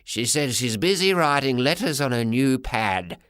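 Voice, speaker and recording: A man voicing a frail, kindly old grandfather with a trembling, halting voice in an audiobook story. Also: mid-range voice, expressive, a bit bright, clear, resonant tone, no echo, clean studio sound, close to an everyday voice. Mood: pleased, reassuring. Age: older.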